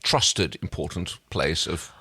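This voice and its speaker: A man's voice: low-pitched, lively intonation, slow, sharp tone, slightly rough. A man co-hosting a podcast, casually recapping a television episode. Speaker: podcast co-host.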